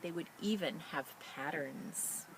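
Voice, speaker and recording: A woman who works as a teacher librarian, talking and reading a picture book to children in an expressive, enthusiastic way. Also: low-pitched, slow, a little hiss or hum, low-quality recording. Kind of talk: children's story read-aloud with casual introduction.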